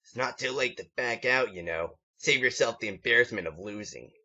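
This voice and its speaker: A man's voice: mid-range voice, normal speed, pushed and bright. A cocky young male pony bully from a storybook, read by a man, sneering and taunting his rival. The delivery is mocking, and the sound is dull and lo-fi. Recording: muffled lo-fi home recording.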